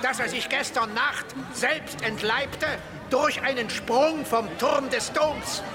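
Stern witch trial accuser, really high voice, solemn declaiming, radio drama, loud crowd behind. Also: slow. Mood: solemn, condemning. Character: stern accuser proclaiming a witch's death sentence.

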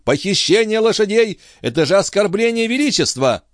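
A flustered male post-station keeper from a storybook, put on by a man, easily outraged and alarmed. His protests climb into a high, shrill shout. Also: wildly swooping pitch, moderate pace, no echo, studio quality. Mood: frantic, outraged.

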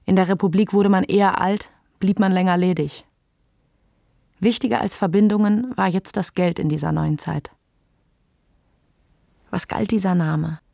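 A woman narrates a literary radio drama in a medium-pitched voice with noticeable fry. The recording is dry, with no room around her. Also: fairly even intonation, normal speed, pauses often, mellow, no room sound.

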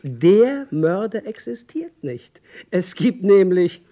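A man's voice: high-pitched, big pitch swings, mellow, heavily acted. An actor playing a smug neighbour who teases and needles as he spoils crime stories, in 1960s radio comedy style. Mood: triumphant, teasing. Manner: gloating, drawn-out teasing. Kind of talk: 1960s German radio comedy-thriller acting.

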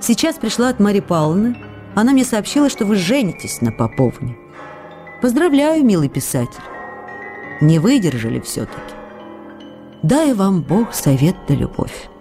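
A woman's voice: medium pitch, big pitch swings, normal speed, mellow, soft background music. A woman in a radio play voicing a young actress who writes playful, coaxing, reproachful letters to a writer. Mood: wistful. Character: young actress writing teasing, pleading love letters. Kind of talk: radio drama letter reading.

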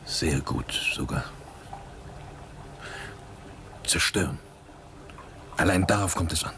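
An actor playing a bitter, hardened revolutionary and former prisoner in a German radio drama, grim and emphatic in manner. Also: male voice, sits low, moderate pace, background noise, natural voice. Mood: cold, grim.

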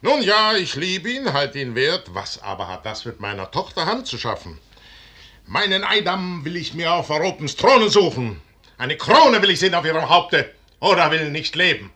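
Imperious, husky older military commander. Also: male voice, fairly high pitch, big pitch swings, normal speed, bright, forceful tone, heavily acted. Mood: amused, haughty. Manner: declamatory, theatrical. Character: proud, ambitious older male military commander, imperious and wounded. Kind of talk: 1950s German radio play stage acting. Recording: 1950s radio drama recording.

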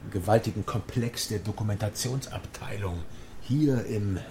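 An actor playing a brooding office worker in a dystopian radio drama, musing haltingly in a hushed voice. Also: male voice, on the low side, natural voice. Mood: brooding, bitter. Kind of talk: radio drama inner monologue. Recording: audible background noise and ambience.

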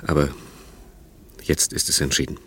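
An actor playing a hesitant, guilt-ridden man in a naturalistic radio drama, speaking in halting, apologetic phrases. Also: bright, forceful tone, lightly acted. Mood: guilty, hesitant.

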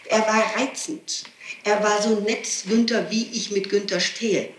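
A woman's voice: medium pitch, fairly even intonation, a little slow. Agitated woman being questioned, keeps pressing her point n restarting, old tape, kinda far from the mic. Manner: insistent, agitated, repeats rhetorical questions; digressive, breaks off and restarts sentences. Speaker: person being questioned by police. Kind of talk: spontaneous statement in an interrogation. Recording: old archive tape, roomy, distant, hissy.

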